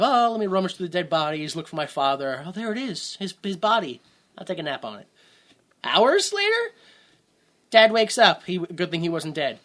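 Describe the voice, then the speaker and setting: A man's voice: on the high side, a bit bright. A man co-hosting a podcast, sarcastically recapping a movie in casual chat and mocking it with quoted voices. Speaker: podcast co-host.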